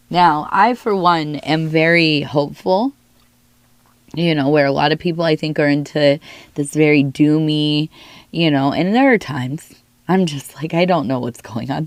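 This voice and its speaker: A woman with a hoarse, worn voice from illness gives a soft-spoken tea leaf reading, musing and reassuring as she speaks straight to the listener. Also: lowish voice, no echo. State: hoarse, losing her voice from illness.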